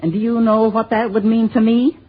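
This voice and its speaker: An American actress playing a bitter, greedy middle-aged spinster schoolteacher with shrill, spluttering indignation in a 1950s radio drama. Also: female voice, little pitch movement, a little fast, natural voice. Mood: indignant, bitter. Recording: dull, lo-fi old radio recording.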